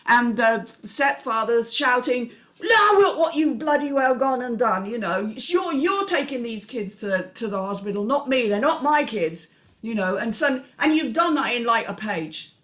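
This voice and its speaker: A woman hosting a casual panel discussion, with an animated storytelling manner. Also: fairly high pitch, normal speed. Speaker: panel host.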